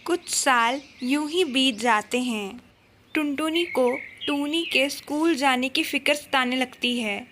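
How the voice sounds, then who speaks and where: High-pitched, unhurried woman storyteller. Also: noisy background. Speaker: narrator. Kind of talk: scripted children's story narration.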